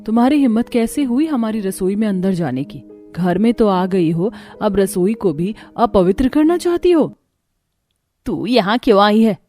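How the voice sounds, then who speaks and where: A woman's voice: fairly high pitch, a little mellow, close to an everyday voice. A woman voicing a harsh, indignant middle-aged mother-in-law in a cartoon story.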